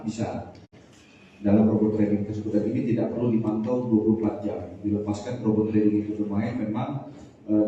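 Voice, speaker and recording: A man, a police official answering formally at a press conference with some English loanwords. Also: mellow. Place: large room at a press conference. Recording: boomy echoing hall, distant muffled mic, faint noise.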